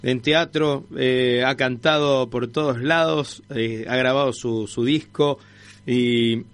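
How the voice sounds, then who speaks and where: A man with an Argentine accent and a mid-pitched voice hosts a radio interview. His delivery is conversational and somewhat halting, with words repeated as he moves toward his point. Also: fairly even intonation. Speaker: radio show host.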